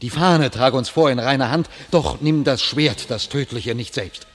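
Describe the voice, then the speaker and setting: A man playing a loyal knight, declaiming steady earnest verse in a classic radio play. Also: medium pitch, moderate pace, natural voice.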